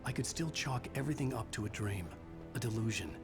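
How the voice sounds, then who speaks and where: An actor playing a brooding, irritable novelist in a video game, grave and introspective, haunted but determined. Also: male voice, fairly low pitch, animated, a little fast, natural voice. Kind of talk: first-person noir narration and game dialogue. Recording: music behind the voice.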